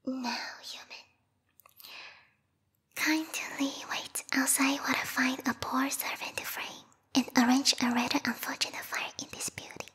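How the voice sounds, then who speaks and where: A woman voicing a formal, haughty bat girl who serves a vampire queen, speaking in a breathy, whispery ASMR roleplay style close to the microphone, politely menacing. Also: high-pitched, steady tone, unhurried, pushed and bright, long reverb tail, full character voice. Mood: teasing, possessive. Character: yandere bat monster girl, devoted servant of vampire queen. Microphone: close binaural microphone.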